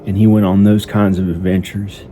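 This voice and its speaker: Low, dark-voiced man storytelling in monotone. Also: faint music behind. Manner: hesitant, halting, childlike simple phrasing with repetition. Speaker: amateur storyteller narrating own improvised story. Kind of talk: improvised first-person storytelling. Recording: noticeable background noise. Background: ambient sound effects.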